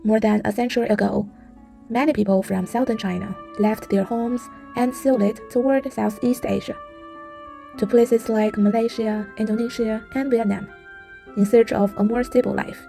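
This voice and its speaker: A woman narrates a travel vlog in calm, scripted voice-over. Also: fairly high pitch, moderate pace, gravelly, soft background music. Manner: calm storytelling.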